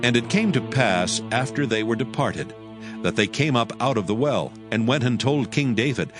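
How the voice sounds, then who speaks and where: A man narrating scripture in a solemn, measured, formal style. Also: mid-range voice, lively intonation, over music.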